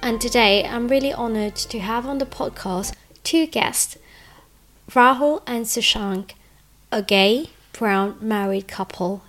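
A woman's voice: a little high, slow pace, fairly bright tone, soft background music. A woman hosting a podcast interview, chatty and encouraging with frequent affirmations. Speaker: podcast host.

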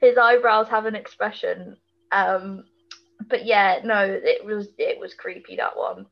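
A woman's voice: mid-range voice, unhurried, creaky, muffled recording. A British woman chatting casually and colloquially as a podcast co-host, full of opinions while recapping television. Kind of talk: casual chat, TV episode recap.

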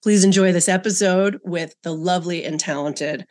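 Polished woman podcast host, low voice. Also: moderate pace, darkish tone. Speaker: podcast host and magazine editor. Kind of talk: scripted podcast intro then interview.

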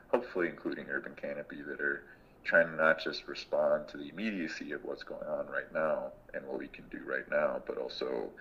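Man in his thirties, extremely low voice, bright edge, reflective and halting, muffled lo-fi recording. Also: slight background noise. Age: 30s. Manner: thoughtful, reflective, pauses often mid-sentence. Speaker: guest. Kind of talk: casual conversation.

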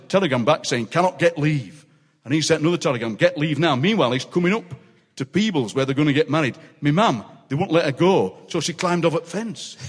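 An older man with a Yorkshire accent, a guest poet telling anecdotes on a stage with quick comic impressions and frequent laughter. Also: a little high, husky. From South Yorkshire, England. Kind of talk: live stage chat, storytelling. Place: large city hall on stage. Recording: long reverb of a large hall.

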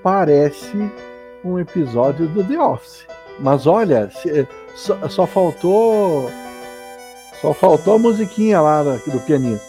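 A Brazilian man co-hosting a podcast, chatting in an animated way and breaking into loud exclamations. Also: a little high, unhurried, soft background music. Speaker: podcast co-host. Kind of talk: casual podcast banter.

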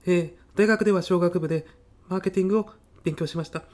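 A man's voice: high voice, fairly even intonation, quick pace, darkish tone, natural voice. A man plays a nervous male student in a comedy radio sketch. He speaks with stiff, over-polite earnestness, hesitating as if reciting prepared answers. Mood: earnest, nervous. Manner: stiff, hesitant, rehearsed-sounding polite speech. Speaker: job applicant. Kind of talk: audio comedy sketch acting.

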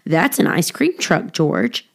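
Woman voicing a kindly, slow-talking storybook man. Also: low voice, big pitch swings, creaky, voice pushed down, full character voice. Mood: friendly, explaining. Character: kindly, reassuring grown man looking after a pet monkey.

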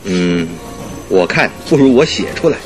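A man's voice: on the low side, expressive, normal speed, soft background music, lightly acted. A man in a Chinese radio drama playing a detective's earnest, puzzled companion with a hesitant, musing delivery.

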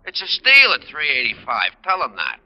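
An actor playing a blunt, folksy working-class shop owner in a radio sitcom. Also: male voice, a little high, huge pitch swings, moderate pace. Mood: pushy, salesmanlike. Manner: plain-spoken, folksy, ungrammatical. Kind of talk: 1940s-50s radio sitcom acting. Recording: muffled vintage radio recording.

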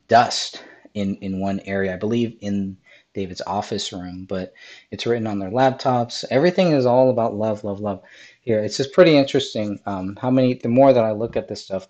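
A man hosting a live-streamed podcast, hoarse from a cold, talking through video clips with lots of ums and restarts. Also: on the low side, moderate pace, a little mellow, dull audio. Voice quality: raspy. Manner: rambling, many ums and restarts. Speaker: podcast host.

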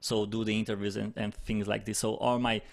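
A man's voice: on the low side, level tone, fairly quick, vocal fry. A young man, a native Romanian speaker, answering interview questions in English with many restarts and fillers. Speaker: podcast guest, content manager.

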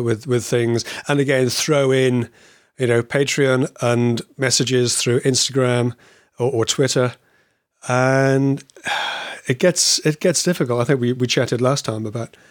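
A man's voice: medium pitch, dry recording, studio quality. A man co-hosting a podcast in friendly casual chat, hesitant with lots of uh sounds and restarts, on a video call. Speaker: podcast co-host.

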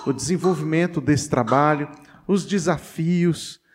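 High-voiced chatty host man. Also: measured pace, background noise. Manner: chatty, interjecting. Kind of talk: casual interview hosting.

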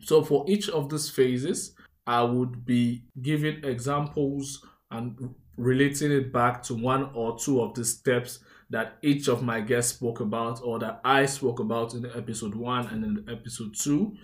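A young Nigerian man with a Nigerian accent hosts his own podcast, recounting his life in an earnest, chatty manner. His voice sits in a middling pitch for a man, and he speaks as if confiding in a friend, adding shout-outs along the way. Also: steady tone, measured pace. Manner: earnest, conversational, warm asides and shout-outs. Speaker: podcast host. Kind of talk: personal storytelling monologue.

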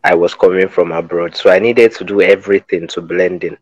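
A man from Nigeria with a very deep voice tells stories in a relaxed, jokey way. The sound is dry and dull, like a lo-fi recording. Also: a little fast, dry recording. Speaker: podcast co-host. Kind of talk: casual podcast chat.

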